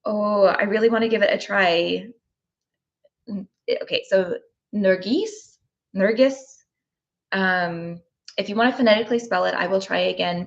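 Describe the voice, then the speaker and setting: A woman's voice: mid-range voice, creaky, muffled recording. A woman from Oklahoma hosting a live tapping session, reading chat comments with frequent ums. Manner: warm, thinking aloud with frequent ums.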